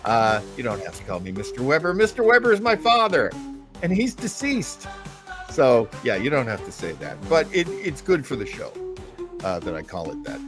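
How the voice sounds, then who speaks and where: A man hosting an old-time radio show casually, in a rambling, hesitant, animated way. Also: mid-range voice, moderate pace, music in the background. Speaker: show host.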